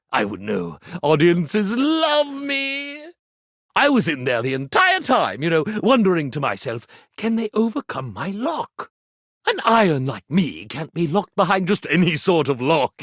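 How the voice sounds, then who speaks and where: A pompous game-character magician, grandly flattering and full of himself. The pitch swings wildly through every phrase, in a dry studio sound. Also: male voice, dry recording, exaggerated voice. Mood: effusive praise. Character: boastful showman stage magician, grandiose and flattering. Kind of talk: video game character acting.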